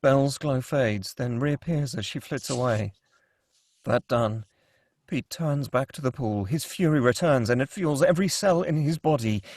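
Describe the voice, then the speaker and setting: A man with a husky voice reading scripted screenplay action as the narrator of a table read. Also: medium pitch, measured pace, a little mellow.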